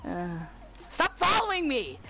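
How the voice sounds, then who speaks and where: A woman's voice: sits high, huge pitch swings, a little slow, gravelly, sharp tone. A woman chatting casually while playing a game online, laughing and squealing nonstop over a headset. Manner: giggly, constantly laughing and squealing. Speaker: gamer. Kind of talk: casual gaming chat.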